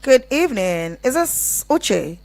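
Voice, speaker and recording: A woman's voice: sits high, very animated, takes it slowly, darkish tone. A woman with a Nigerian accent hosting a live radio phone-in, reading messages and talking with callers. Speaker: radio call-in show host.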